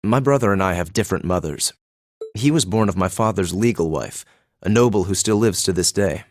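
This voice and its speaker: Game role: cynical young aristocrat male actor, lowish voice, formal and dry, close studio sound. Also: fairly quick, no room sound, natural voice. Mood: guarded, bitter. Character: reserved, cynical young illegitimate son of a duke. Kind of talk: English-language game voice acting.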